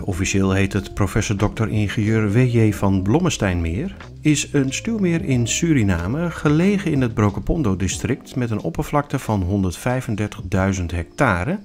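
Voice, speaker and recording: A man reading a scripted travel voice-over in an informative, steady way. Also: fairly low pitch. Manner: informative, reads facts steadily. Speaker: vlog narrator.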